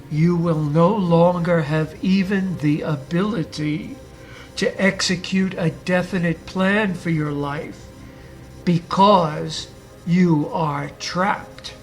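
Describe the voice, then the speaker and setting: Guy hosting a podcast, raspy from the flu, talks real slow and deliberate, faint music underneath. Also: high voice, low-quality recording. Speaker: podcast host. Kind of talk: scripted motivational podcast monologue.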